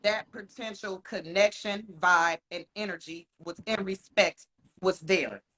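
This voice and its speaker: Frank, profane podcast co-host with a bright voice. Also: male voice, high voice, steady tone, a little hiss or hum. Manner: frank and profane, laughs often, slows down to stress points. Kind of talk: casual podcast chat.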